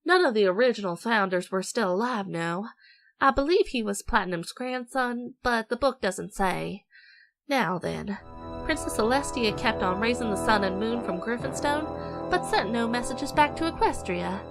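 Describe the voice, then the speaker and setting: A woman's voice: a little high, animated, moderate pace, loud music behind the voice. A woman voicing a tender, folksy mother pony, telling bedtime stories in a motherly way. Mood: storytelling. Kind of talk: acted bedtime storytelling.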